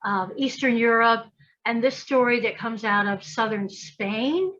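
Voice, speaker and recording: A woman's voice: on the high side, unhurried, fairly bright tone, lo-fi sound. A woman guest in a casual conversation, talking animatedly and acting out imagined voices as she makes her points. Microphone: video call.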